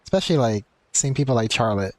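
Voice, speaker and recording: A man's voice: mid-range voice, animated, fast talker. A man giving casual, slangy, easygoing live commentary as a game streamer.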